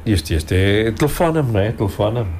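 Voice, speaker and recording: A man's voice: fairly low pitch, expressive, fairly quick, darkish tone. A man with a European Portuguese accent chatting casually on air as a radio host. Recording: some background noise.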